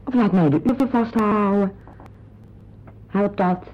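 An actress playing a caring, fussing married hostess in a radio play. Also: female voice, mid-range voice, slow pace, lightly acted. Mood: concerned, soothing. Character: solicitous married woman soothing a shaken guest. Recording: dull old radio drama recording.

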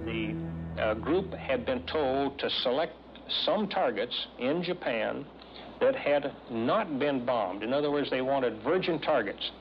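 A man's voice: mid-range voice, expressive, slow pace, music in the background. Muffled man recalling events. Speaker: veteran airman giving testimony. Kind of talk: recollection in interview. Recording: muffled archive interview.

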